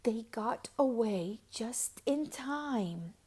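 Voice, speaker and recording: A woman with a high voice reads a picture book aloud to children. She takes it very slowly, stretching words out with dramatic, deliberate expression. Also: expressive, a little mellow, slightly rough. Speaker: read-aloud storyteller.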